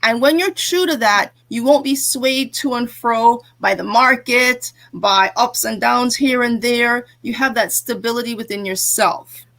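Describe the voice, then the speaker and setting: A woman's voice: fairly high pitch, unhurried, hardly any pauses, fairly bright tone. A woman business coach who was born in England and grew up in Jamaica and New Jersey, giving earnest advice in a live interview. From born in England, raised in Jamaica and New Jersey. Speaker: guest, business coach and author.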